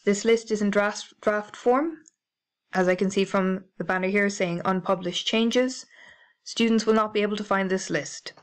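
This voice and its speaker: Methodical British woman explaining software. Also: mid-range voice, fairly even intonation, moderate pace. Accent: British English. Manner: clear, methodical, spells out codes letter by letter. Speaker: library staff trainer. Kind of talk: instructional screencast narration.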